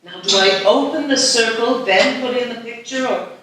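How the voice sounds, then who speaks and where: A woman's voice: a little high, moderate pace, a bit bright, far from the mic, close to an everyday voice. A woman playing a comforting, world-weary grandmother in her seventies in a live screenplay table read. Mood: wistful comforting. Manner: reassuring, musing. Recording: very echoey live room, faint noise.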